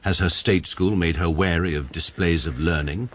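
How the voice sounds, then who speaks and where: A pompous, precise barrister in his forties, as an actor plays him narrates his own misfortunes with dry, deadpan wit. His voice sits very low, with soft noise behind it in this radio comedy. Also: normal speed, natural voice. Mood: wry. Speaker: first-person narrator and lead character.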